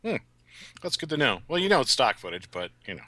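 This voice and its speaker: Male podcast guest: casual commentary, lowish pitch, forceful bright tone, dry close sound. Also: sing-song, quick pace, dry recording.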